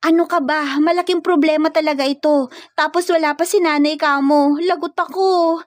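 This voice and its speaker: A woman's voice: high voice, fairly even intonation, normal speed, no room sound, clean studio sound, pitched above the performer's own voice, close to an everyday voice. A woman voicing a frightened, flustered young provincial maid in a story, with a dramatic, whiny, stammering delivery. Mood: worried.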